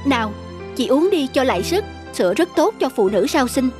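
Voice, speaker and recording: Village woman doctor played by a woman for cartoon dub: high, dutiful, reassuring, over music. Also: very animated, normal speed. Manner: firm, reassuring. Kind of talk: cartoon dubbing. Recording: music behind the voice.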